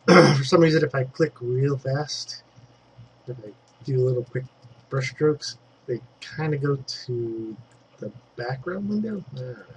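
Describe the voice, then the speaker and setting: A man's voice: mid-range voice, unhurried, background noise. A man with a congested throat giving a casual digital painting tutorial, thinking aloud as he works and dropping in fillers. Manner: chatty, thinks aloud while working, trailing fillers.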